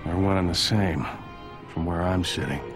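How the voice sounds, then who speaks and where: Gruff deadpan game guy, low and gravelly, few words, music behind. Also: normal speed. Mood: dry, weary. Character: gruff, laconic man savoring whiskey. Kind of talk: dubbed video game cutscene acting.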